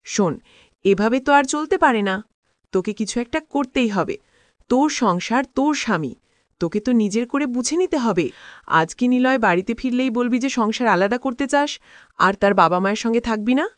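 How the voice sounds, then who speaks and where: A woman's voice: a little high, lively intonation, a little fast, no room sound, lightly acted. An actress playing a meddling, domineering mother of a married daughter in a cartoon drama, insistent and admonishing. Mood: scheming, insistent.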